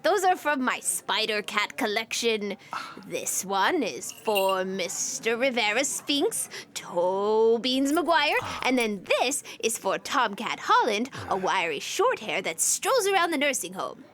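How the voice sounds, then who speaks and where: Sweet folksy old lady vendor, unhurried and lively, sly saleswoman, radio comedy. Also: fairly high pitch, heavily acted. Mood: cheery, sly. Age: older. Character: cheerful, shrewd old maid selling cat crafts. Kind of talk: comedic audio drama acting.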